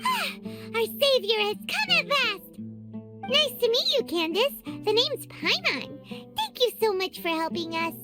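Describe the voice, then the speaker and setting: A woman voicing a tiny, childlike, excitable and naive game companion with a squeaky voice and exclaiming manner. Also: measured pace, music in the background, full character voice. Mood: excited. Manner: exclaims, refers to self in third person.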